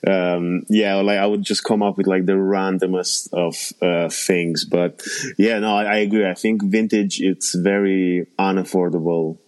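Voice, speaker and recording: A man's voice: deep voice, flat delivery, a little slow, a little mellow. A man from Romania, a podcast host chatting casually in a rambling way with many restarts. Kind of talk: casual chat.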